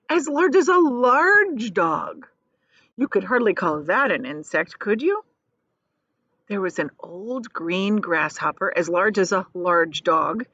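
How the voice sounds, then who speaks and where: A woman teacher reading a children's storybook aloud expressively, pausing to explain things in simple, casual words. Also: medium pitch, fairly bright tone, no echo, lo-fi sound.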